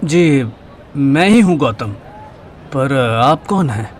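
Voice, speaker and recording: A man's voice: medium pitch, expressive, slow pace, a faint crowd, slight background noise. A man playing an embarrassed, polite but exasperated young man who stammers earnestly in an audio drama. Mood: confused, flustered. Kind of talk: Hindi audio drama acting.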